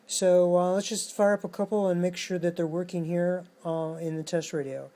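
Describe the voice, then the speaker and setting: A man giving a casual hands-on technical demonstration as an electronics hobbyist, speaking in a halting, matter-of-fact way. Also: sits high, flat delivery, dark tone.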